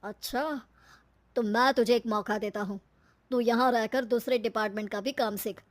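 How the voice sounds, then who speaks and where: A brisk male bank manager, put on by a woman narrator. He is casually authoritative and a little patronising, yet fair, as in a cartoon story. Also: a little high, steady tone, close to an everyday voice. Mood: appraising.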